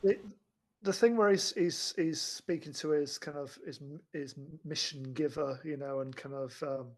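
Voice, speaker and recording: A man's voice: fairly high pitch, measured pace. A man co-hosting a podcast, chatting casually and conversationally about films. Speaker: podcast co-host. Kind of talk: casual film discussion.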